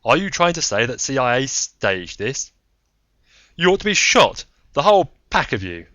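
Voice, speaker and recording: A man's voice: mid-range voice, very animated, normal speed, dry recording, muffled recording, voice pushed up. A man playing an irascible, demanding colonel. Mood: outraged. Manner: demanding, loud, indignant. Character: irascible male colonel, impatient and accusing.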